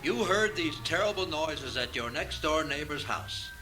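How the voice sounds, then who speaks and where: A man's voice: a little high, lively intonation, unhurried, sharp tone, poor audio. A man playing a distracted, rambling middle-aged police chief in a comic radio play. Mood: distracted, musing. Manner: rambling, absent-minded, dramatic. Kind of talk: comic radio theater acting. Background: music.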